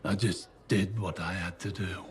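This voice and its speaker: An actor playing a weathered, boastful yet haunted old survivor in a video game, with a folksy, plain-spoken manner. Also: male voice, low-pitched, steady tone, moderate pace, natural voice. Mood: haunted, regretful. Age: older. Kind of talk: video game dialogue acting. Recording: clear ambient noise behind the voice.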